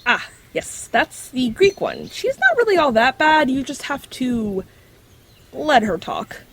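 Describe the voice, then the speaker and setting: A woman's voice: sits high, sing-song, slow, fairly bright tone, exaggerated voice. A woman voicing a knowledgeable magical creature in dog form, explaining things matter-of-factly with dry wit in an audio drama. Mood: wry, informative. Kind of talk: scripted audio drama acting.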